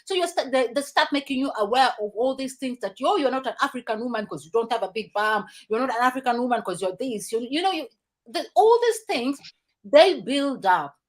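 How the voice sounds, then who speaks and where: Woman host, earnest and reflective, slightly high voice. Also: expressive, fairly quick. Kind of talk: casual discussion.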